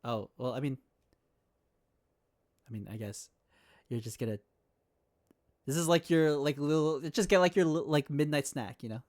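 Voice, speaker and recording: A woman's voice: sits low, normal speed, pauses often, a little mellow. A woman live streamer chatting casually with her viewers in a playful, affectionate way. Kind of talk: casual livestream chat with viewers.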